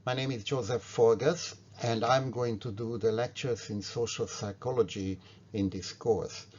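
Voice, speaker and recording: An older man from Hungary with a Hungarian accent, giving a formal, measured university lecture over slides. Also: mid-range voice, steady tone, darkish tone, a little hiss or hum. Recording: dry, dull lo-fi recording.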